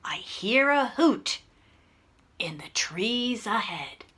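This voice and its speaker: A big, hungry, gullible storybook monster, acted out by a woman, speaking very slowly. Each word is stretched and deliberate. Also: on the high side, very animated, pitched below the performer's own voice, heavily acted. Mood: hushed, wary. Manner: drawn-out, deliberate words, sometimes hushed. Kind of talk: children's storybook read-aloud.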